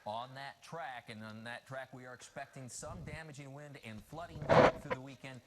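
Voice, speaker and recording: A man's voice: mid-range voice, steady tone, moderate pace, fairly bright tone, a little hiss or hum. A man giving a live television weather forecast as a meteorologist. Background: control room chatter.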